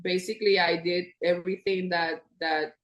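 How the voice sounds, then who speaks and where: A woman's voice: on the low side, level tone, moderate pace, reverberant, rough recording. A woman in her fifties with a Puerto Rican accent, a guest chatting freely, laughing often and restarting her sentences. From Puerto Rico. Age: 50s. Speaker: podcast guest. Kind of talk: casual interview chat.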